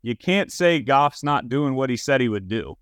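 A man's voice: mid-range voice, lively intonation, moderate pace, smooth, no echo, clean studio sound. A man hosting a casual sports talk show, conversational and emphatic with his opinions. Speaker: sports podcast host.

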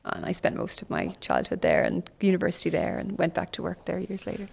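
Gravelly Irish woman telling stories. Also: lowish voice, big pitch swings, quick pace. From Ireland. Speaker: author guest. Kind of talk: podcast interview conversation.

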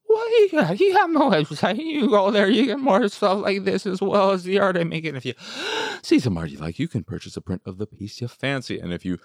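A man's voice: sits low, no echo, studio quality. A man hosting a video game show with casual, sweary, rambling commentary and an exasperated, self-deprecating manner.

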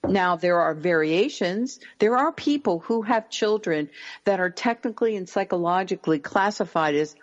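A middle-aged woman with a low voice, a parenting expert giving calm, deliberate advice in an interview. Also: muffled recording. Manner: calm, deliberate, slows words for emphasis.

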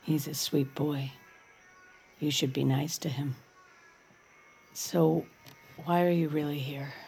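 Brittle, evasive middle-aged mom for audio drama, low, husky voice, clipped and deflecting. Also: female voice, fairly even intonation, slow, dark tone, faint music behind, exaggerated voice. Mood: guarded. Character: brittle, evasive, worried mother of a grown daughter. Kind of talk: naturalistic audio drama acting.

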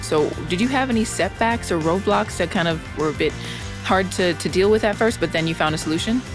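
A woman's voice: on the low side, fairly quick, music in the background. A woman interviewing a guest in a casual, upbeat and encouraging way. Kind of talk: conversational interview.

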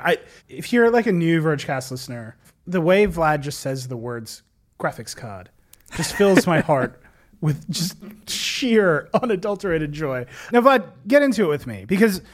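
A man hosting a tech podcast, enthusiastic and steering the conversation. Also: on the high side. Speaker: podcast host. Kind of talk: podcast discussion.

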